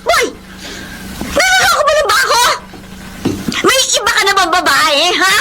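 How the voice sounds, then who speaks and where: An actress playing a suspicious, hurt young wife in a Filipino radio drama, emotional and accusing, with a Filipino accent. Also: female voice, extremely high pitch, sing-song, moderate pace. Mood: furious. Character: suspicious, hurt young wife confronting her cheating husband. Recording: noisy radio drama with background ambience.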